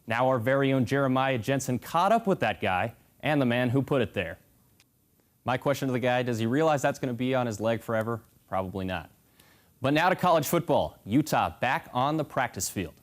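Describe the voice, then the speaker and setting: A man's voice: medium pitch, expressive, fairly quick. A young American man anchoring a scripted sportscast with a punchy, polished broadcast delivery. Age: young adult.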